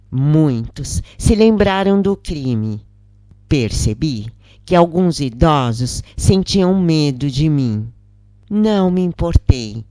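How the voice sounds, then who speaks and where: A Brazilian woman reads a story in a deep, slow voice. Her tone is dark and soft-edged, and she leaves deliberate pauses between phrases, reflective and measured as in an audiobook. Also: no room sound. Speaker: narrator reading a first-person spiritual account. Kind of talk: scripted narration, audiobook storytelling.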